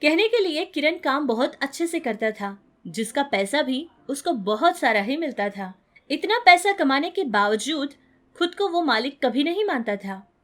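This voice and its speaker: A woman's voice: sits high, sing-song, a little slow. A woman narrating a scripted moral story in an earnest, instructive manner.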